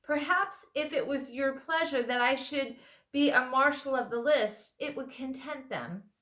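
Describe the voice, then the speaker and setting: A woman voicing a small, proud male mouse knight who speaks in a shrill, formal, gallant manner. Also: unhurried, fairly bright tone. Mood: proud courtly.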